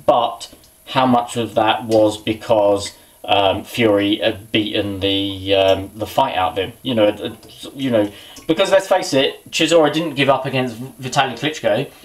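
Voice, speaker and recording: A man's voice: on the low side, unhurried. A man, chatting casually about boxing while cooking in his small kitchen, rambling and muttering to himself, recorded on a camera's built-in mic. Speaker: boxing vlogger. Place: small home kitchen indoors.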